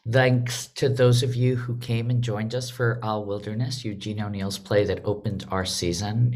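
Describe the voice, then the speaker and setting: A woman speaking as a theatre director and host, welcoming an online audience on a video call in a measured way with pauses between phrases. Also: very deep voice, a little mellow.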